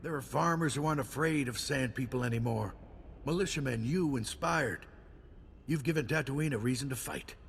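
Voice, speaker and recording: An actor playing an earnest frontier ally in a video game, heartfelt and encouraging. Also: male voice, mid-range voice, normal speed, creaky, natural voice. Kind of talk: video game character dialogue. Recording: long reverb, faint noise.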